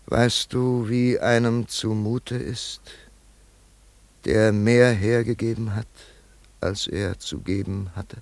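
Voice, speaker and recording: A brooding older father speaks in a radio drama with a low voice, very dry and close. He is slow and halting, full of grief and longing, swelling suddenly and sinking back. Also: steady tone, dry recording. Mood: tender, mournful. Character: grieving wealthy old father, brooding and yearning. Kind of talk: German radio play monologue.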